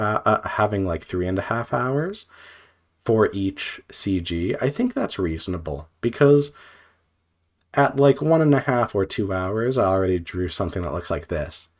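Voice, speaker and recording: A man talking off the cuff in a casual, scattered way, thinking aloud with frequent hesitations and fillers. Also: lowish voice. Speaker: video creator, indie visual novel developer. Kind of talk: unscripted casual vlog monologue.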